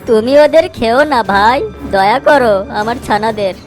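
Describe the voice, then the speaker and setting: A woman acts out a small mother bird from an animated fable. Her voice is high and emotional, that of an earnest, wronged parent. Soft music runs behind her. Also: unhurried, lightly acted. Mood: pleading. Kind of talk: animated moral story voice acting.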